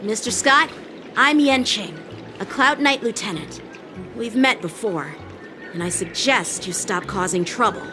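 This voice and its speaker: A woman's voice: mid-range voice, animated, measured pace, natural voice. A woman voicing a polite, composed teenage boy knight lieutenant in a video game, with a lightly teasing manner. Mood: firm, polite. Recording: music under the dialogue.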